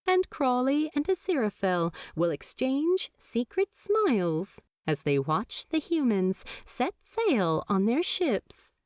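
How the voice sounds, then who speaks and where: Woman audiobook narrator, slow lively reading, dry close mic. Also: mid-range voice, no room sound. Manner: expressive, measured reading. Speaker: fanfiction narrator.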